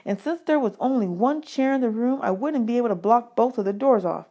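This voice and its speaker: A woman reading a children's novel aloud as a teacher, in an expressive storytelling style. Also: fairly high pitch, fairly quick.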